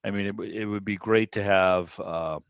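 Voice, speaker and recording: A man's voice: fairly low pitch, steady tone, normal speed, clean tone, no echo, muffled recording. A middle-aged man hosting an informal online club interview in a conversational, reflective way, over video call audio. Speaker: host of a club meeting.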